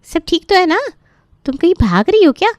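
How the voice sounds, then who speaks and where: A woman's voice: very high voice, big pitch swings, fairly quick, a little mellow, dry recording, studio quality. A woman voicing a chatty grown daughter who fusses over her mother in a cartoon story, full of questions. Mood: chatty, concerned. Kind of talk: animated moral story dialogue.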